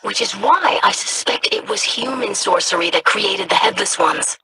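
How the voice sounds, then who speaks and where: An actress voicing a calm, scholarly woman who studies dark lore in a video game, speaking in measured, formal sentences. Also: medium pitch, noisy background, natural voice. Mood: grave.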